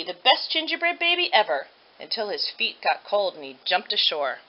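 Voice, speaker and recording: A woman reading a children's story aloud with expressive narration. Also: mid-range voice. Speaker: storybook reader. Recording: dull lo-fi audio.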